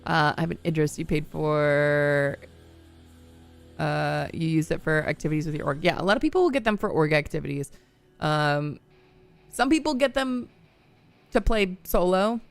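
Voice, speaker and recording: A woman's voice: sits low, animated, moderate pace, creaky, pauses often, clean tone, soft background music. A woman in her thirties streaming a game live, chatting casually with her viewers and laughing often. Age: 30s. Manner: chatty and upbeat, laughs often, lots of "like" and "you know".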